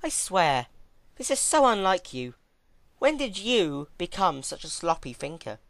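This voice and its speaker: A performer voicing a serene, haughty ancient pony goddess, female, who speaks in a measured, lofty, chiding way. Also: medium pitch, very animated, vocal fry, fairly bright tone, no echo, pitched above the performer's own voice, heavily acted. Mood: chiding amusement.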